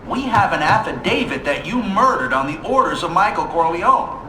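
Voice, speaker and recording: A man's voice: sing-song, normal speed. An actor playing a grave committee chairman in a game cutscene, formal and oratorical. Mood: official, grave. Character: grave committee chairman presiding over hearing. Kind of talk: video game cutscene acting. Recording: echoey hearing room with noisy rumble.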